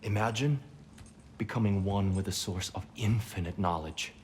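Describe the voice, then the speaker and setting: An actor playing a calm, earnest older god who speaks in measured sentences. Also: male voice, fairly low pitch, a little hiss or hum, natural voice. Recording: game music behind.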